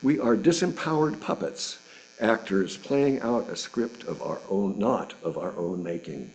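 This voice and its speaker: Mellow, lower-voiced man reading a sermon. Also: animated, poor audio. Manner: measured, deliberate phrasing with pauses. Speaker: reader delivering a sermon at a church service. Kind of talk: sermon read from a written script.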